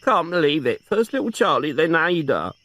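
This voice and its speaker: A woman's voice: low voice, very animated, moderate pace, a bit bright, pitched above the performer's own voice, full character voice. A man voicing a grieving elderly woman in her seventies, tremulous and protective of her nephew. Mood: tearful. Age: 70s. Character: grieving elderly aunt, frail and tearful.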